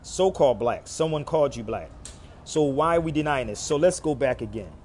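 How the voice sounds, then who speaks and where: A man's voice: medium pitch, lively intonation, fairly quick, a little mellow, a little hiss or hum. Emphatic man preaching on a livestream. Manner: preachy, emphatic, repeats phrases. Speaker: live stream host. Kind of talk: livestream monologue to viewers.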